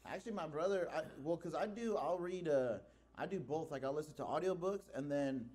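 A man's voice: a little high, a little fast, darkish tone. A man in his early thirties chatting casually on a podcast, swearing freely and using a lot of slang. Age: early 30s. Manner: profane, slangy. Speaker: podcast host. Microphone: close podcast microphone with headphones.

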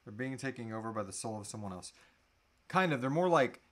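A man in his thirties hosting a casual live question-and-answer chat, often halting and trailing off mid-thought. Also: mid-range voice, lively intonation, fairly bright tone. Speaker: host answering audience questions. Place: small indoor room.

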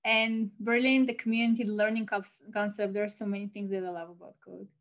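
A young woman from Bulgaria in her twenties introduces herself cheerfully, pausing on fillers now and then. Her voice sits at a medium pitch, heard over a video call. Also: little pitch movement, fairly bright tone. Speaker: student panelist.

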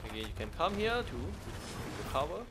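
A man's voice: fairly high pitch, very animated, brisk, heavy background noise. A man giving casual gameplay commentary in short exclamatory bursts, with non-native English phrasing. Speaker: gaming YouTuber. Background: game music.

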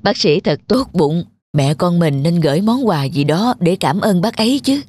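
A woman's voice: fairly low pitch, animated, normal speed, a little mellow, husky, dry recording. Plaintive old village mother. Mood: grateful. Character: frail, worried, kindly elderly village mother. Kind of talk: cartoon dubbing.

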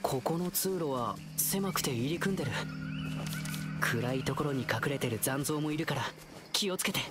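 A performer voicing a cheerful, confident young male hero in a game dub, with a lively, reassuring way of talking. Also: medium pitch, normal speed, natural voice. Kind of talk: Japanese game dub acting. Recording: game audio with loud music.

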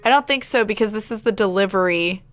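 A woman's voice: medium pitch, quick pace. A woman co-hosts a gameplay video. She talks in a casual, chatty way, with faint music playing behind her. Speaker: gaming video co-host.

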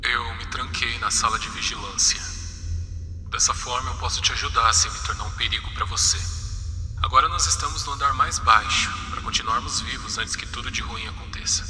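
A man's voice: mid-range voice, fairly even intonation, a little fast, pushed and bright. A man voicing a polite, apologetic monster mascot with a dangerous streak in a Brazilian Portuguese horror game dub. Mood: calm, apologetic. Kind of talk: fan-dubbed horror game dialogue. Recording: echoey hall, distant, music behind.

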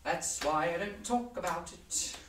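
A young man acting a morbidly musing, rambling character in a halting theatre monologue. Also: sits high, pitched above the performer's own voice. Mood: uneasy musing. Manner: halting, conversational musing with swings in volume. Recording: roomy, distant mic with noise.

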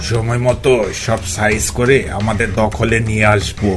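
A man's voice: lowish voice, little pitch movement, normal speed, over music, close to an everyday voice. A man voicing a greedy, scheming bandit raider in a cartoon. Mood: sly. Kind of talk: Bengali cartoon dubbing.

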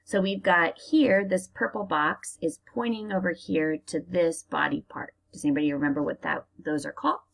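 An American woman teacher reading aloud to young children and explaining as she goes, in a deliberate, expressive manner. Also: on the low side, creaky, poor audio. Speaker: elementary school teacher reading aloud to pupils.

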